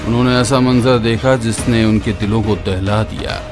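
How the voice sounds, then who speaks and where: A man narrating a horror story in a steady, dramatic way, dropping lower at the grim moments. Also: moderate pace. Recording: narration over loud background music.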